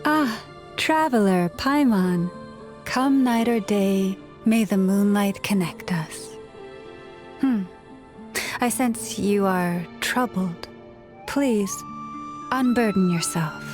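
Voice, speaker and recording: Woman as a slow, solemn moon priestess. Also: mid-range voice, a little mellow, soft background music, lightly acted. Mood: serene. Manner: formal, poetic, composed. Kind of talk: video game cutscene acting.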